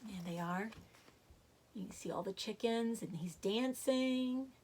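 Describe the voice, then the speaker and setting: A woman storyteller reading a children's book aloud in an expressive narrating style with dramatic emphasis. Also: a little high, measured pace, slight background noise.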